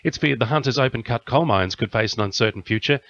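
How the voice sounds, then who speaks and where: A man's voice: mid-range voice, fast talker, fairly bright tone, dull audio. An Australian man reading a scripted radio news bulletin as the newsreader. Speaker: news reader.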